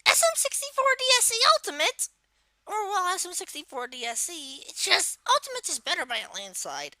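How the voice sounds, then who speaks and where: A performer voicing a small turtle-like video game villain kid, giving a casual step-by-step software tutorial in a halting, hesitant way. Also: very high voice, huge pitch swings, dry recording, full character voice. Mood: upbeat, instructive. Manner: halting, hesitant, trailing off in asides. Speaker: tutorial presenter.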